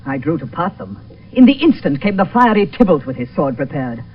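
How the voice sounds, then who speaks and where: A man's voice: fairly high pitch, sing-song, brisk. An actor playing a loyal, concerned young nobleman, speaking verse earnestly in a radio Shakespeare play. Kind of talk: 1970s radio Shakespeare acting. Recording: dull, hissy 1972 radio recording, distant.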